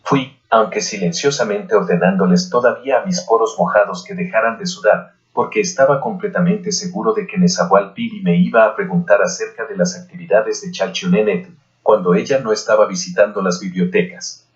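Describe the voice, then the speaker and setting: Low-voiced man reading an audiobook. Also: fairly even intonation, brisk. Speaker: audiobook reader. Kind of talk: scripted narration of a historical novel. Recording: dull, lo-fi voice recording.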